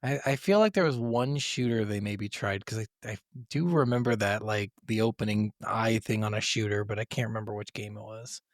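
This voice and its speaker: A man's voice: lowish voice, brisk, few pauses, no echo, studio quality. A man speaking as a podcast co-host, chatting about video games in a blunt, colloquial way and swearing freely.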